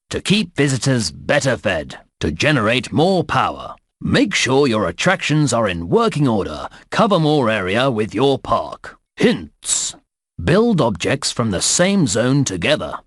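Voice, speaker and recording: A man playing a cheerful theme park narrator in a children's game, reading menus and hints in a deliberate, instructive way. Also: on the low side, fairly bright tone, dry recording, studio quality, full character voice. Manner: deliberate, clearly enunciated, instructive.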